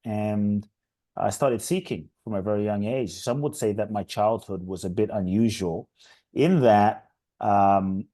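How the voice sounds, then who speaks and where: Man from London, very low and warm voice, earnest reflective storyteller, dry close-miked sound. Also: measured pace, dry recording. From London, England. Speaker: guest, inspirational speaker. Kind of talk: podcast interview.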